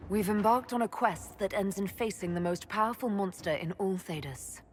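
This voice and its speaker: A woman playing a composed, decisive elf mage who leads an army in a fantasy video game, measured and commanding. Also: medium pitch, slight background noise, lightly acted. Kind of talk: video game cutscene acting.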